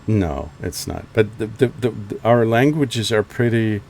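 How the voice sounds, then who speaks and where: A man's voice: lowish voice, lively intonation, a little slow, creaky, darkish tone. A German man chatting casually on headset while flying in a flight sim, hesitant with many restarts. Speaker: flight sim video host. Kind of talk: casual chat over radio while flying.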